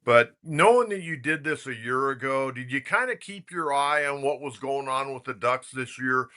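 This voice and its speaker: A man's voice: medium pitch, animated, normal speed, sharp tone. A middle-aged American man hosting a sports talk interview, conversational, building up to his questions at length. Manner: conversational, long lead-ins to questions. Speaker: sports talk show host.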